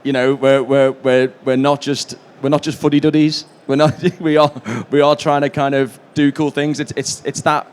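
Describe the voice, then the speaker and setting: A man speaking as a panelist, conversational and halting, often repeating words as he goes. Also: mid-range voice, level tone, darkish tone, smooth. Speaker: panelist from a broadcaster. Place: conference event panel. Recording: live panel with background noise.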